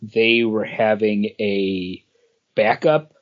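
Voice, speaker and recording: A man with a medium-pitched voice speaks slowly and haltingly as a podcast co-host. He searches for words in relaxed, conversational talk, and the sound is a little muffled and lo-fi. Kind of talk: casual comic book chat. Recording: dull lo-fi recording.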